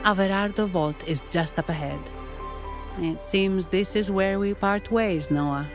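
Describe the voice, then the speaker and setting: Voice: mid-range voice, measured pace, natural voice. An actor voicing a friendly, upbeat young adventurer in a video game, speaking in a reassuring way. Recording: game audio under music.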